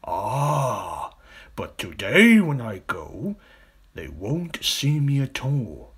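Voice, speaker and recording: A man voicing an enormous, greedy, boastful crocodile from a children's storybook, relishing each slow, drawn-out word with a sly menace and breathy hisses. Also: medium pitch, huge pitch swings, a bit bright, pitched above the performer's own voice, exaggerated voice. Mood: smug.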